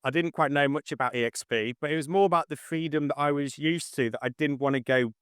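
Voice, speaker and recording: Guy being interviewed, kinda monotone but clean smooth voice, on the higher side. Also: fast talker, no room sound, clean studio sound. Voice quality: slightly monotone. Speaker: interview guest, estate agent. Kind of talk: casual interview.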